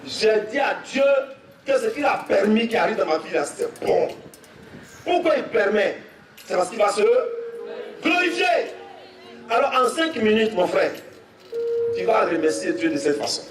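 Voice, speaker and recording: A young man with an Ivorian French accent preaching a testimony sermon, telling his story and rising to shouts with repeated phrases. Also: high voice, very animated, normal speed, long pauses, background noise. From Côte d'Ivoire. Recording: echoey, from far off, faint music.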